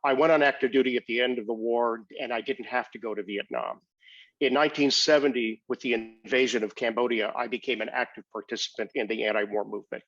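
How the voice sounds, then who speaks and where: An older man with an American accent gives a prepared personal account in a measured, explanatory way, as a guest speaker. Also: mid-range voice, fairly even intonation, a bit bright, lo-fi sound. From United States. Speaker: humanitarian aid worker, guest speaker.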